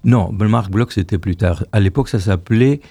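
A man in his sixties from Argentina, whose first language is Hebrew, telling stories slowly as a choir director being interviewed, often restarting his phrases. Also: fairly low pitch, creaky, mellow, husky, no echo. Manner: unhurried storytelling, repeats and restarts phrases. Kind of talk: radio interview.

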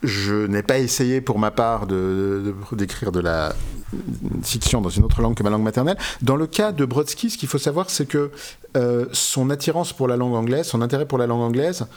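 Man explaining in halting, scholarly speech. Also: medium pitch, expressive, a little mellow. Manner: erudite, halting explanations with frequent self-corrections. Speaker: interviewed author and literary expert. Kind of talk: unscripted scholarly interview.

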